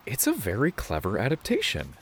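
Young male alien for audio drama, played by a man: high, lifted voice, innocent and wide-eyed, eagerly precise. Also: huge pitch swings, brisk, very noisy. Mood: amazed. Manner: eager, precise, bookish wording. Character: naive, wonder-struck nineteen-year-old male alien.

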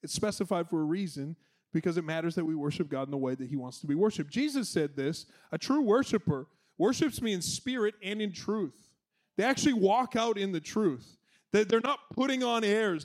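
High-voiced pastor preaching with energy. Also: male voice. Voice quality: loud voice. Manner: energetic, conversational preaching with playful asides. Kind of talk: sermon.

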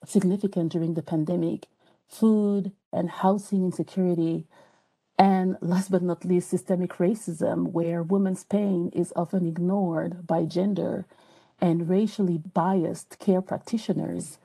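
A woman's voice: fairly low pitch, steady tone, unhurried, vocal fry, dark tone, husky. A woman from France with a French accent, a podcast guest speaking earnestly and reflectively, with frequent hesitations and restarts. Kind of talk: personal interview talk.

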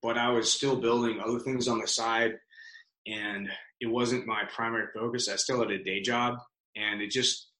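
A man's voice: medium pitch, level tone, moderate pace, sharp tone. A man guesting on a podcast interview, talking casually with plenty of fillers and swearing. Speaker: guest, business founder. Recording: roomy, echoey sound.

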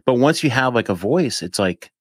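A man in his fifties, a podcast guest, chatting casually in a rambling, animated way with lots of fillers. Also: medium pitch, moderate pace, husky, no echo, studio quality. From United States. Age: 50s. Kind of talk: casual chat.

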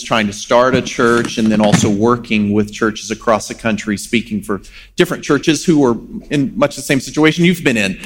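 A middle-aged man from West Texas, a preacher telling his life story conversationally as a guest on stage. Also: mid-range voice. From West Texas, United States. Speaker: preacher, guest being interviewed. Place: large church room, on stage. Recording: echoey hall with long reverb.

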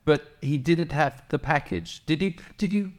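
A man's voice: a little high, a little slow. A man hosting a podcast interview, chatting casually and prompting his guest with questions. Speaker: podcast host.

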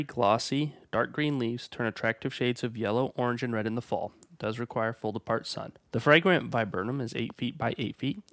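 A man with a stuffed-up voice from a cold hosts a nursery's weekly plant feature. He reads his notes in a steady, informative way at an ordinary middle pitch. The sound is dry, with no room echo. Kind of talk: reading plant guide notes.

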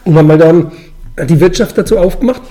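A man's voice: a little high, fast, dark tone. A man in his forties with a Franconian accent, explaining his craft in casual, colloquial dialect as a brewmaster being interviewed. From Franconian Switzerland, Bavaria, Germany. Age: 40s. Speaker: brewmaster and brewery owner, interviewee.

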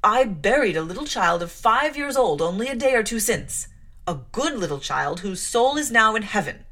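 A grim, solemn clergyman who lectures a child in slow, heavy, deliberate questions, as a woman reads him aloud. His voice swings widely in pitch as he presses each point. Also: big pitch swings. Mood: stern. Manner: slow, loud, grave questioning. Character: stern solemn man, tall clergyman, bass voice.

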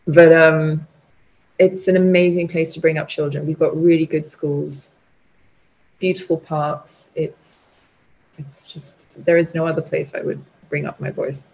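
A woman's voice: low voice, flat delivery, a little slow, vocal fry, a little mellow, breathy edge. A middle-aged woman, a guest muralist, chatting casually in an interview, laughing easily and restarting her sentences. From Portugal and Britain. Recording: dull lo-fi sound.

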